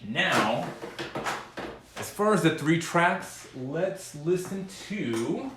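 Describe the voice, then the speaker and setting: A man hosts a casual, off-the-cuff demo in a voice a little higher than most. He takes his time, often stopping mid-phrase before carrying on. The room adds a clear echo around his words. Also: lively intonation, background noise. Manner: halting, pausing mid-sentence between phrases. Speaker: host of a music gear demo. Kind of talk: casual, unscripted demonstration to camera.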